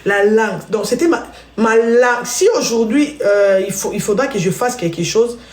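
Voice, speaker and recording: A woman's voice: fairly high pitch, normal speed, darkish tone, slight background noise. Chatty Congolese woman in an echoey room. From Congo (DRC). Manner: chatty, storytelling, addresses viewers directly. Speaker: live stream host. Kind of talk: casual live-stream chat.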